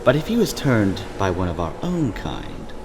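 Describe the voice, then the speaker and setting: A man's voice: lowish voice, sing-song, normal speed. A man voicing a plain-spoken, curious vampire schemer who casually asks questions in a scripted fantasy drama. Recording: long reverb, clearly noisy background.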